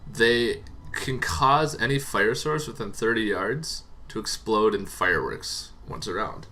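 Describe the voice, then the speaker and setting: A man hosting a tabletop roleplaying show, explaining casually and off the cuff in a rambling, halting way that repeats words. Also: lowish voice, expressive, sharp tone. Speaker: host of a tabletop roleplaying monster lore show. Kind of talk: casual unscripted explainer to camera.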